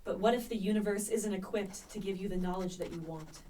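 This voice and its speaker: A woman playing a young male poet and spy in a radio play, musing lyrically with dramatic pauses. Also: mid-range voice, mellow, recorded from a distance, slight background noise, lightly acted, rough recording. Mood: solemn, searching. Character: Christopher Marlowe, dreamy, searching young male poet and spy.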